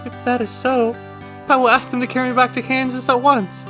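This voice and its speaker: A man's voice: extremely high pitch, flat delivery, fairly quick, sharp tone, voice pushed up. A man voicing a polite, earnest little girl in a storybook fantasy game, with music behind. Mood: earnest, hopeful. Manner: polite, earnest, formal phrasing.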